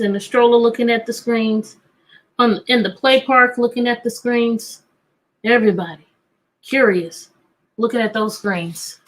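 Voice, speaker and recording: A woman teacher reading a picture book aloud to children, animated and coaxing, often repeating questions to draw out answers. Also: on the high side, rough recording. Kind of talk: interactive children's read-aloud.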